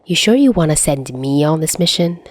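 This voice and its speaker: A woman's voice: sits low, lively intonation, fairly quick. Woman acting a brash, hot-headed young man. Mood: doubtful. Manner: blunt, scoffing. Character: brash, hot-headed young male subordinate, indignant and doubtful.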